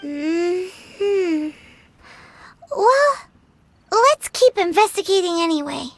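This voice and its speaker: A woman's voice: very high voice, animated, drawn-out words, noisy background, exaggerated voice. A woman voicing a small, chatty and blunt fairy companion in a video game, who talks about herself in the third person. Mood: puzzled. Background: game music.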